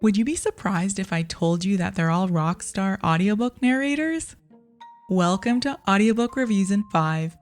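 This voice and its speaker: A woman's voice: medium pitch, moderate pace, faint music behind. A woman hosting a review podcast, speaking in a scripted narration style. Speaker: podcast host and audiobook reviewer.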